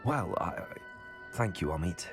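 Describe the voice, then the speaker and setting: A man playing a calm, polite young student wizard in a video game cutscene. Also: low voice, moderate pace, music in the background. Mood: polite, friendly.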